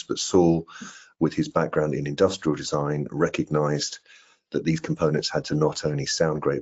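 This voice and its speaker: Very deep, husky British man. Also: a little mellow. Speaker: product marketing director presenting. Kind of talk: prepared product presentation. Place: indoor room on a video call. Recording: dull, lo-fi video call audio.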